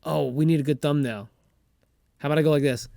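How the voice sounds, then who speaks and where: A man's voice: fairly high pitch, fairly even intonation, quick pace, gravelly, mellow, no room sound. An American man talking casually and enthusiastically as a chatty podcast co-host. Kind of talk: casual chat.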